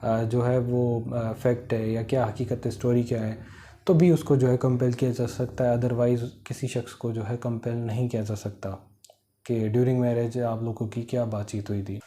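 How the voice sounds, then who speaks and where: A man with a Pakistani accent giving an informal explanatory lecture, halting and repetitive, with many filler phrases. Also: mid-range voice, flat delivery, dark tone. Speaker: law lecturer. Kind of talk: informal explanatory lecture, Urdu mixed with English legal terms.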